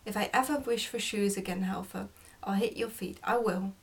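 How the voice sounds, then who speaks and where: A woman voicing a sharp-tongued goblin mother in a storybook, nagging and insistent. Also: mid-range voice, steady tone, normal speed, breathy edge. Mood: threatening.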